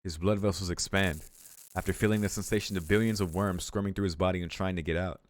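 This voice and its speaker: A man's voice: deep voice, fairly even intonation, fast, no room sound. A man hosting a let's play, reading visual novel narration aloud and adding casual commentary. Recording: music playing behind the voice. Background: game music.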